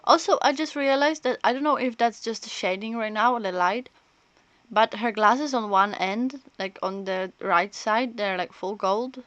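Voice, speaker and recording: A woman's voice: on the high side, moderate pace, a bit bright, no echo. A woman doing casual live gameplay commentary, chatting and thinking aloud as she streams. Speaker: game streamer.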